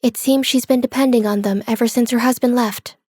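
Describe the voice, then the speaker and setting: A woman playing a polite, detached young observer in a manga dub, formal and deadpan. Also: fairly high pitch, a little fast, dry recording, clean studio sound, lightly acted. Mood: polite, composed.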